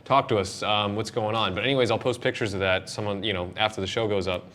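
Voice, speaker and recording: A man hosting a casual panel chat about games and movies, speaking in an animated, opinionated, emphatic way. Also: on the low side, quick pace. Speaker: show host. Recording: clear background noise.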